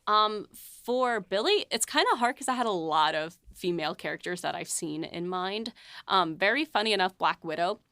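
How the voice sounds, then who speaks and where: A woman's voice: medium pitch, expressive, moderate pace, pushed and bright, dry recording. A woman writer co-hosting a casual behind-the-scenes talk, rambling with lots of fillers and laughs. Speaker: writer and podcast co-host.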